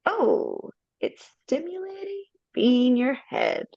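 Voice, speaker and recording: A woman's voice: high-pitched, wildly swooping pitch, slow pace, vocal fry, no room sound, full character voice. A woman voicing a sarcastic talking bird-hat that heckles everyone, over slightly dull video-call audio. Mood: snarky. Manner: snarky, wisecracking.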